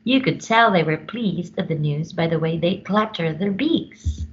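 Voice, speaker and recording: A woman reading a children's story aloud with expressive, dramatic emphasis. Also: on the low side, measured pace, highs cut off.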